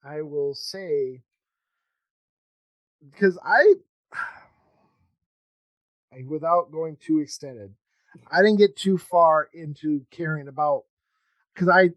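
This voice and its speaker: A man hosting a podcast in casual conversation, talking in a halting, rambling way as he thinks aloud. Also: fairly high pitch, fairly bright tone, dry recording, muffled recording. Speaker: podcast host interviewing a guest. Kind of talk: casual chat.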